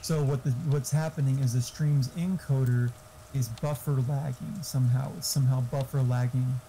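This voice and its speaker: A man's voice: medium pitch, fairly even intonation, normal speed, mellow, a little hiss or hum, low-quality recording. A man chatting casually and giving tech advice in a blunt, explanatory, sweary way. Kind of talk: casual chat. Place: online voice chat.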